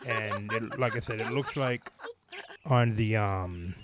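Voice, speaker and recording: Man hosting over clearly noisy game audio. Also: on the low side, slow pace. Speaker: host of a gaming channel. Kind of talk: casual gaming commentary and comic fan fiction reading. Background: children's video game sounds.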